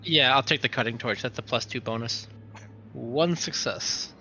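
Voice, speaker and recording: Bright mid-pitched male tabletop player. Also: moderate pace, a little hiss or hum, poor audio. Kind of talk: casual game-table chat.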